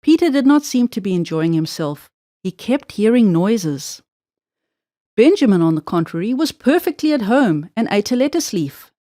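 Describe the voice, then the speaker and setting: A woman's voice: mid-range voice, very animated, normal speed, dark tone, dry recording, clean studio sound. A woman narrating a children's storybook expressively, drawing out words for emphasis.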